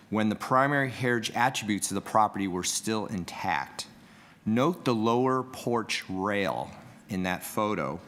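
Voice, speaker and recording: A man from heritage planning staff presenting a report at a city board meeting, reading prepared remarks in a measured way. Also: on the low side, a bit bright. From Canada. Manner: measured, reads prepared remarks, asks for next slide. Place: council meeting room.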